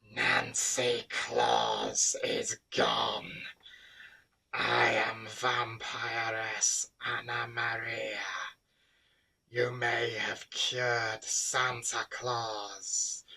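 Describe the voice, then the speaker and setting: A man voicing a cruel giant female vampire bat queen with a deep, grating, hissing voice. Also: gravelly, sharp tone, breathy edge, recorded from a distance, exaggerated voice. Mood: menacing whisper. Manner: hissing, cackling.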